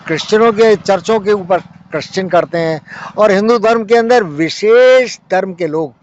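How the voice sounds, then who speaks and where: A man's voice: high voice, normal speed. A man giving impassioned interview answers as a monk, emphatic, with repetition and rhetorical questions. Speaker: Buddhist monk being interviewed. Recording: muffled audio with clear vehicle noise.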